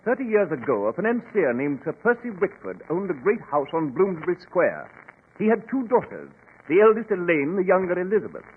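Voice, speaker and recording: Animated, calculating claimant. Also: male voice, high voice. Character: smooth, insinuating male claimant, confident and calculating. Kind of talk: 1940s radio acting. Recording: tinny, noisy 1940s radio recording.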